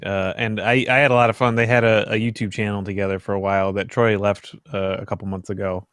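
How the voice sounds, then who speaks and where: A man's voice: lowish voice, level tone, fast talker, fairly bright tone, smooth, no echo, clean studio sound. A man, telling things loosely and informally as a podcast panelist. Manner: loose, informal storytelling with swearing. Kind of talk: casual chat.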